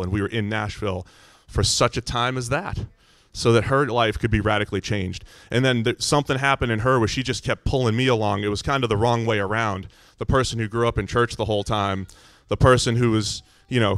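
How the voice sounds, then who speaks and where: Visiting church speaker: American man, middle-aged, booming voice on the low side, dry sound, informal storyteller. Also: no echo. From Massachusetts, United States. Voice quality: loud, booming voice. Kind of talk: personal testimony to a congregation.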